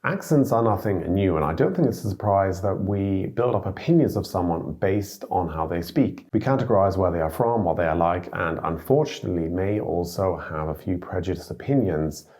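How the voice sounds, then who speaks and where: Low-voiced Englishman with dry wit. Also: animated, moderate pace, few pauses, darkish tone. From Britain. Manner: dry, wry asides. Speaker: vlogger. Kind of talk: scripted commentary to camera.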